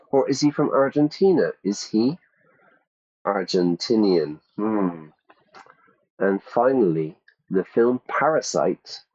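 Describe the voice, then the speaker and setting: A man's voice: mid-range voice, darkish tone, muffled recording. A man teaching English to learners, reading out quiz questions and repeating the answer options. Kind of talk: ESL quiz lesson.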